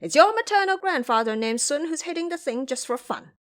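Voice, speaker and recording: A woman playing a brash, high-pitched monkey trickster. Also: expressive, brisk, fairly bright tone. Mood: boastful. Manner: brash, taunting. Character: cheeky, boastful, irreverent male monkey disciple.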